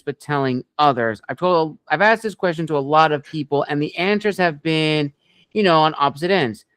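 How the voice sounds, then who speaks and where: A man hosting an interview, framing his questions in a polite, deliberate and wordy way. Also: a little high, fairly bright tone, clean tone, no echo. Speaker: interviewer, show host.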